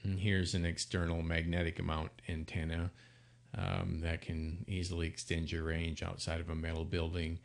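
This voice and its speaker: A man with a low-pitched, gravelly voice presents products in an informal, unscripted demonstration. He is plain-spoken and explains things step by step, with a halting flow. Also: monotone. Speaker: product presenter for an intercom seller.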